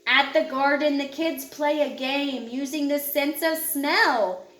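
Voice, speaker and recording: A woman with a high voice narrates a children's picture book. She takes her time, guiding young listeners in a measured, instructional way. The recording sounds roomy and echoey. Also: fairly even intonation, fairly bright tone, slight background noise. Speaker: audiobook narrator.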